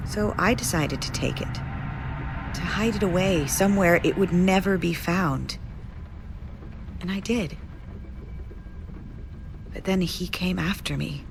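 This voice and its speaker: An actress playing a bitter, resolute estranged lover turned zealot in an audio drama, speaking solemnly with weighty pauses. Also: female voice, lowish voice, gravelly, noisy background.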